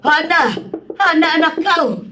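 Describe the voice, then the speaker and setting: A woman's voice: very high voice, animated, a little slow, bright, forceful tone, a little hiss or hum, exaggerated voice. A woman with an Ilocano accent playing a weary, reproachful mother who scolds and nags in a radio drama. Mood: alarmed. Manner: nagging, reproachful.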